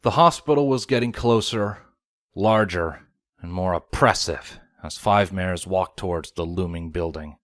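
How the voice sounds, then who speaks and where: A man reads scripted story narration in a low voice. The recording is close and dry, with no room sound around him. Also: measured pace, fairly bright tone, no room sound.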